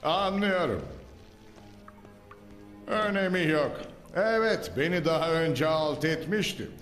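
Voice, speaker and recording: A gloating bald sewer-boss villain, high voice, theatrical, echoey reverb, music behind. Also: male voice, slow, a bit bright. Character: scheming bald male sewer czar villain, grandiose. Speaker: villain in a dubbed cartoon.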